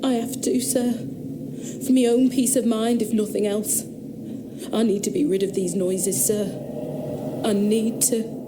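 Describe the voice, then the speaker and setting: A woman's voice: a little high, fairly even intonation, echoey room, very noisy, close to an everyday voice. Woman playing a shaken country girl. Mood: pleading. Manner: halting, hesitant, rustic speech. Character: frightened young country woman, insistent witness. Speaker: witness questioned about noises. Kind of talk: radio drama acting.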